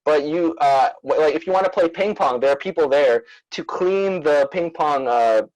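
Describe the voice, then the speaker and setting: A man's voice: a little high, normal speed, darkish tone, dry recording, low-quality recording. A man from Queens, New York, chatting casually as a musician guest in an interview over a video call. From born in former Soviet Union, raised in Queens, New York.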